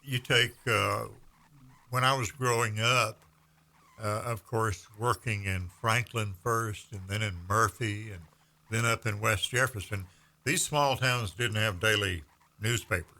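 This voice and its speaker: A man, telling stories in casual conversation with measured pauses. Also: lowish voice, fairly even intonation, vocal fry, pushed and bright. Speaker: veteran radio broadcaster. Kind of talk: casual storytelling chat.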